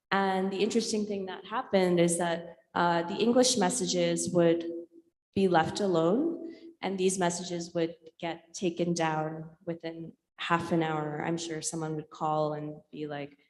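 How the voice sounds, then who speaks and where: A woman from India, a native Urdu speaker, giving an informal artist talk in a reflective, hesitant way with frequent pauses and ums. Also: lowish voice, darkish tone. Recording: echoey hall with long reverb.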